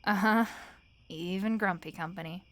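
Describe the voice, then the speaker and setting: Soft-spoken, weary female roommate, acted by a woman. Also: mid-range voice, no room sound, close to an everyday voice. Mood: weary, subdued. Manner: soft-spoken, casual, halting, dry teasing. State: sleepy, weary. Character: tired, wry, sleepless female roommate, bantering fondly. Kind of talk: soft-spoken audio roleplay acting.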